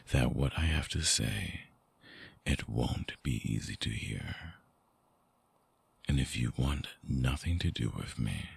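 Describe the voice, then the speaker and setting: A man playing a remorseful, lovesick vampire ex-boyfriend in an intimate ASMR roleplay, husky and breathy, hesitant and pleading, close on the mic. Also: rumbling low, full character voice. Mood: grave, hesitant. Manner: hesitant, soft-spoken, pleading. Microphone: close binaural microphone, dry.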